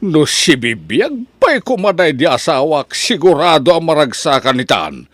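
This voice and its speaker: A man's voice: fairly high pitch, very animated, measured pace, a bit bright, a little hiss or hum. A man playing a strict, hardworking older father, a farmer, in an Ilocano drama with emphatic delivery. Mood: proud, hopeful. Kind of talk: Ilocano radio-style drama acting.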